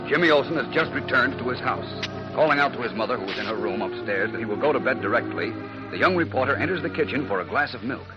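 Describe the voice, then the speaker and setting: Dramatic radio announcer over loud music. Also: male voice, mid-range voice, animated, fast talker, dull audio. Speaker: announcer, narrator. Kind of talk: dramatic 1940s radio serial narration. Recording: old radio recording, loud music behind.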